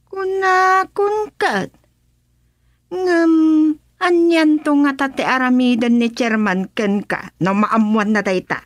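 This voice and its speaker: A woman's voice: high-pitched, slow, no echo. A woman with an Ilocano Filipino accent playing an inquisitive, gossiping village councilwoman in a radio comedy-drama. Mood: probing.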